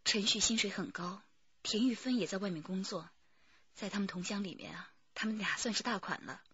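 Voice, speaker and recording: A poised businesswoman in a radio drama, careful and guarded. The voice is very breathy with a sharp edge, in a dry, slightly dull recording. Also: mid-range voice, a little fast, no room sound.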